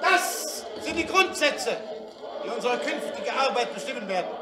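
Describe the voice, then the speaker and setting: An actor playing a fervent revolutionary orator in a German radio play, declaiming solemnly and rousingly to the masses. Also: male voice, very high voice, very animated, normal speed, distant mic. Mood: exultant. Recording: echoing, very noisy roaring crowd.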